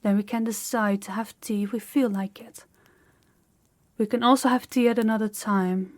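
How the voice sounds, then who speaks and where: A woman playing a proud, guarded young princess in an intimate audio roleplay, speaking in a measured, formal, slightly awkward way to the listener. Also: medium pitch, steady tone, darkish tone, close to an everyday voice. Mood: stiff, composed.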